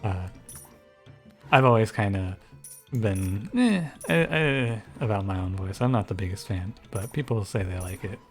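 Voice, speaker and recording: A man with a soft, soothing voice giving relaxed, thinking-aloud commentary over a strategy game. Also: unhurried, soft background music. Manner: low-key, musing, thinks aloud with frequent restarts. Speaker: gaming YouTuber and streamer. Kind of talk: casual gameplay commentary.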